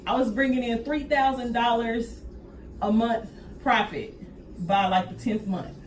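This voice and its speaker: A woman's voice: a little high, fairly even intonation, a little slow. A woman in casual podcast conversation, speaking emphatically and repeating her points for weight. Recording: echoey room, distant mic, faint noise.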